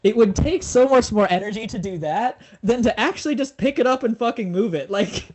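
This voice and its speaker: A man's voice: high-pitched, brisk, darkish tone, slight background noise. Young man, casual, lots of "like". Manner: casual, filler-heavy with frequent "like". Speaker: guest, social media creator. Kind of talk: casual conversation.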